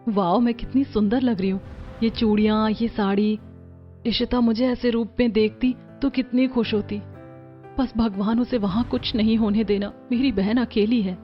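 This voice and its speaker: A woman voicing an excitable, wide-eyed young new bride in a cartoon. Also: a little high, normal speed, natural voice. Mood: wistful, delighted. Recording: dull lo-fi track with music.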